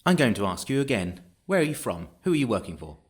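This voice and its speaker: An actor playing an officious, suspicious throne guardian in an audio drama, curt, imperious and sarcastic. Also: male voice, lowish voice, very animated, fast talker, a little hiss or hum. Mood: accusing.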